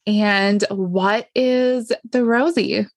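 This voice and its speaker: A woman's voice: mid-range voice, extremely slow, dry recording. Podcast host woman, dry sound. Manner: upbeat, friendly interviewer. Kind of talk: casual podcast interview.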